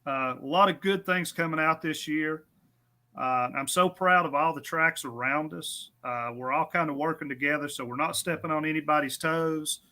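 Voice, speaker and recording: A man's voice: on the high side, moderate pace, pushed and bright. A man, a guest talking about his racetrack in an easygoing, self-deprecating, friendly way. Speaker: drag strip guest. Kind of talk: casual on-air interview.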